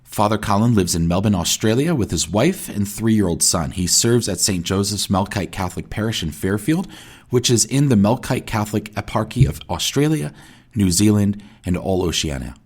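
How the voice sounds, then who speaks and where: Male podcast host, low and animated, friendly interviewer. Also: moderate pace, breathy edge. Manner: friendly, lively questioning. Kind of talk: interviewing, scripted intro.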